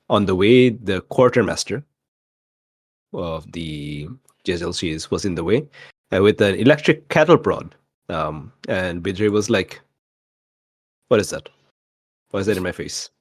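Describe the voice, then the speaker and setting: A man's voice: low-pitched, no echo. A man casually recapping a tabletop role-playing session as a player, with dry, mock-exasperated asides.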